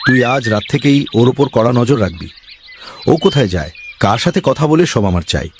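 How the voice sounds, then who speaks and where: A man's voice: medium pitch, sing-song, fairly quick, heavy background noise, lightly acted. A man voicing a greedy, scheming village council member in a cartoon, bossy and scolding. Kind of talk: cartoon voice acting.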